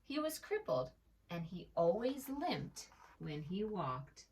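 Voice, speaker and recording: Animated, unhurried woman reading a storybook. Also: fairly low pitch, far from the mic, a little hiss or hum. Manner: expressive, gentle, asks the listener questions. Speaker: children's storyteller. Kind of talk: storybook read-aloud for preschoolers.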